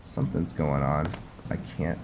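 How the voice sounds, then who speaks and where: A man giving casual gameplay commentary, unhurried and halting as he thinks aloud. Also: extremely low pitch, little pitch movement, noisy background. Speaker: game streamer narrating his roleplay playthrough. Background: game music.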